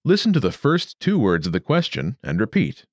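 A man narrating a scripted language lesson, giving clear, measured instructions. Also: mid-range voice, huge pitch swings, no echo, clean studio sound. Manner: clear, measured teacher delivery.

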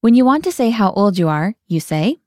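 Woman, lesson host, explains and translates, animated pitch, dry studio sound. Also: brisk, dry recording. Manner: guides and explains, translating each phrase. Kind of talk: scripted language lesson.

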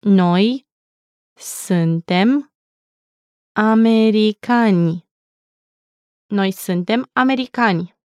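A woman teaching a language, saying each word slowly and clearly twice in a scripted lesson. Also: mid-range voice, darkish tone, no room sound, studio quality. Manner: slow careful pronunciation with repeats. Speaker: native-speaker language teacher.

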